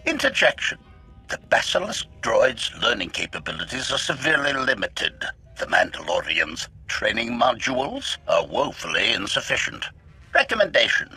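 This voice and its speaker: An actor playing an arrogant, sardonic assassin droid in a video game, with formal, clipped, condescending phrasing and a robotic filter. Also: male voice, fairly low pitch, sharp tone, slightly rough, full character voice. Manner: announces each sentence type, formal and clipped, condescending. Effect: robotic metallic voice filter.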